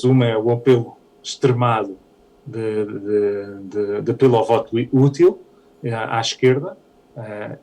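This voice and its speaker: Measured man giving election analysis. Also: mid-range voice, dark tone, rough recording. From Canada. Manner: measured, analytical, with frequent hesitations. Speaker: politician interviewee. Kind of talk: post-election political commentary.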